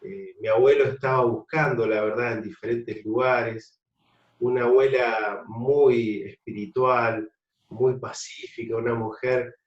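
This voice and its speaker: A man's voice: medium pitch, steady tone, unhurried. A man with an Argentine accent, a pastor reflectively and fondly telling his life story in an interview. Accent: Argentine Spanish. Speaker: pastor guest. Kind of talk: interview testimony. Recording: distant, roomy, dull sound.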